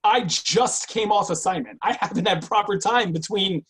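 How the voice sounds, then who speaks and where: Guarded, husky higher-pitched man, acted in a reading. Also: moderate pace, a bit bright. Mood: tense, deferential. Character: guarded, clinical intake agent, weary but obedient man. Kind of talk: online screenplay table read.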